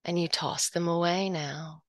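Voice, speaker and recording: A woman leading a guided hypnosis meditation, speaking in a slow, soothing, hypnotic way and dropping softly into whispers. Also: on the low side, steady tone, dry recording, clean studio sound.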